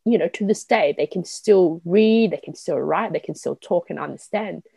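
A young woman, a guest telling stories to a friend in an animated, mock-dramatic way. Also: on the low side, normal speed, vocal fry, breathy edge, no echo. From Taiwan, raised in New Zealand from age seven. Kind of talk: casual chat with a friend.

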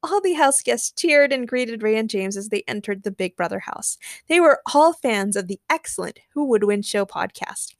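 A woman's voice: a little high, lively intonation, moderate pace, hardly any pauses, dry recording, clean studio sound. A woman narrating a fan fiction story as a podcast host.

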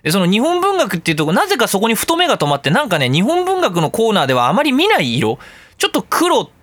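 A man's voice: sits high, wildly swooping pitch, quick pace, fairly bright tone, no echo. A middle-aged man hosting an internet radio show, chatting casually and comically in a geeky ramble and acting out quotes as he goes.

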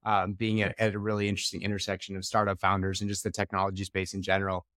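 A man's voice: sits low, completely flat delivery, rapid-fire, a bit bright, no echo, studio quality. A man in his thirties hosting a podcast, talking in an upbeat, fluent way as he introduces and questions his guest. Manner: upbeat, fluent, fond of intensifiers. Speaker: podcast host.

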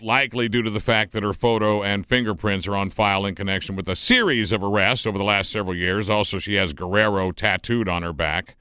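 A man's voice: on the low side, sharp tone, smooth, no echo, studio quality. A man reading offbeat news items on a radio talk show.

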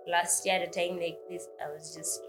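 Kenyan woman, mid-twenties, podcast co-host; lively, laughs a lot, music bed underneath. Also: fairly low pitch. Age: 20s. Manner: animated, laughs often, talks quickly. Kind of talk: casual podcast chat mixing English and Swahili.

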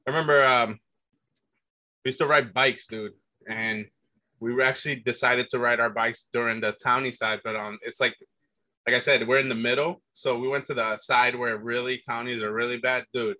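A man's voice: medium pitch, fairly even intonation, normal speed, sharp tone, no room sound. A man telling stories from his youth in a loose, rambling way, full of fillers and restarts. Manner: rambling, many fillers and restarts, says "dude". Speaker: guest telling his life story. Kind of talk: casual conversation.

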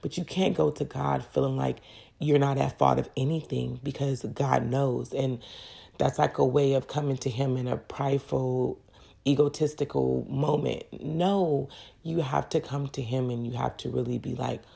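A woman's voice: very deep voice, steady tone, normal speed, creaky, dark tone, husky. A woman hosting a faith podcast in an earnest, personal, encouraging way, her voice crackly and congested from a cold. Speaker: podcast host.

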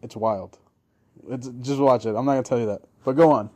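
A man's voice: mid-range voice, fairly even intonation, a little fast, darkish tone. A young man whose first language is Spanish, hosting a casual chat with friends, laid-back, laughing often and saying "dude" and "like". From moved to the US at seven. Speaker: podcast host.

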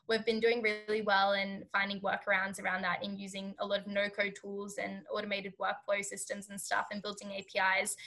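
Young woman founder, early twenties, bright tone, chatty and full of fillers. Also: medium pitch. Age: 20s. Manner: rambling with many fillers. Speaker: startup founder guest. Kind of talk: casual podcast interview.

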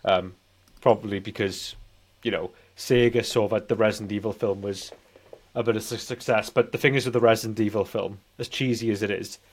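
A man's voice: fairly low pitch, steady tone, brisk. A man in his forties co-hosting a casual film podcast, talking in a rambling, hesitant way. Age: 40s. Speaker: podcast co-host. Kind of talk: casual anecdotal film chat.

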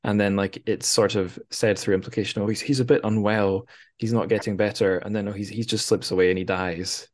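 A man's voice: lowish voice, steady tone, a little fast. A man hosting a casual book conversation, speaking informally and piecing his thoughts together as he goes. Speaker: podcast host. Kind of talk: casual conversational literary discussion.

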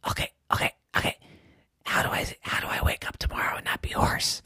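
Storybook kid: hoarse little boy, all whisper, stammering and eager. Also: pushed and bright, dry recording, clean studio sound. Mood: pleading whisper. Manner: eager, stammering, rushing questions. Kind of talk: bedtime storytelling.

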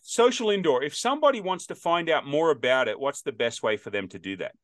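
A man's voice: fairly high pitch, animated, fairly quick. Chatty fifty-something guy hosting a podcast, rambles and restarts a lot. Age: 50s. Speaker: podcast host. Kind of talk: casual interview chat.

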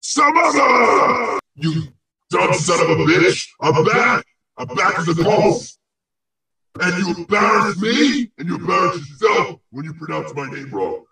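A man acts out an irascible, conceited ghost for a comic audio play. The voice is gravelly and fairly high for a male, blustering and sputtering with insults. It comes drenched in echo, set back from the microphone. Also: animated, a little slow, pushed and bright, exaggerated voice. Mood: outraged. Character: angry, boastful, insulting male ghost with a cough. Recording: very echoey, distant microphone.

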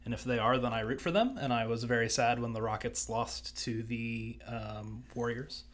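A young man from Houston co-hosting a podcast, talking quietly with dry, low-key asides. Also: normal speed. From Houston, Texas, USA. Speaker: podcast co-host. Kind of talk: casual podcast chat.

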